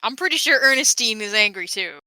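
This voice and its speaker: A woman's voice: fairly high pitch, lively intonation, a little fast, bright, forceful tone, no room sound. A woman chatting casually and playfully as a player in a tabletop role-playing game.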